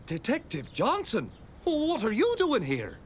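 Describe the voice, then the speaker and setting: Slow, swooping cartoon policeman. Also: very high-pitched, wildly swooping pitch, a little hiss or hum, exaggerated voice. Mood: surprised. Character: stolid adult male police officer. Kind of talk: animated children's cartoon acting.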